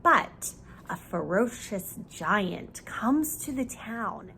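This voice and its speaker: A woman teacher reading a picture book aloud expressively, pausing to explain things in simple words for young children. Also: mid-range voice, animated, pushed and bright, a little hiss or hum, rough recording.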